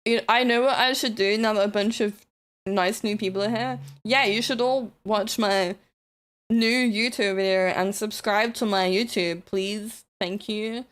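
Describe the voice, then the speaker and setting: A woman's voice: mid-range voice, steady tone, unhurried, fairly bright tone. Chatty woman with a Kiwi accent. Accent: New Zealand. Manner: rambling, chatty, self-deprecating, swears casually. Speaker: streamer. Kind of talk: casual live-stream chat while building models.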